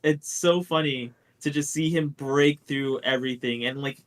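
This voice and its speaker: A man's voice: mid-range voice, steady tone, normal speed, a little hiss or hum. A man co-hosting a live stream, chatting casually in a crude, joking, profane way. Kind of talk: casual group chat.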